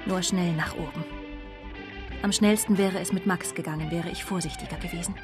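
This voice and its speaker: Radio drama narrator: actress as disillusioned young woman, low register, bitterly reflective, music underneath. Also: fairly quick, natural voice. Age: young adult. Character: disillusioned 29-year-old daughter of a prostitute, coolly confessional.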